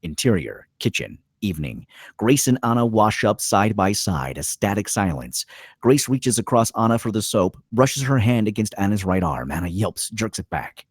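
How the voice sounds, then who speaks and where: A man's voice: on the low side, very animated, normal speed, breathy edge, no echo, studio quality. A man reading screenplay stage directions as scripted narration, in terse, dramatic phrases.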